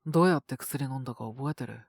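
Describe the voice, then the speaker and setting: A gruff teenage schoolboy acted by a woman in a boys' love audio drama. The voice sits low with a husky rasp, recorded very dry. He speaks in blunt, casual phrases that tease while hiding care. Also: quick pace, no room sound, exaggerated voice. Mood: exasperated, teasing. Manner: blunt, teasing, rough casual boyish speech. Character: gruff, teasing teenage schoolboy secretly caring for classmate.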